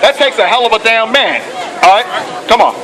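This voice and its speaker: A high-voiced man preaches on a busy city street, fiery and profane. He keeps pushing his listeners with "okay" and "come on". Traffic rumbles loudly around him. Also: expressive, brisk, crowd noise. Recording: loud street noise outdoors.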